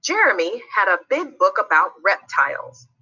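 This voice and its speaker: A woman's voice: mid-range voice, very animated, moderate pace, sharp tone, breathy edge, lo-fi sound. A woman narrating a children's read-along story expressively, putting guiding questions to young listeners.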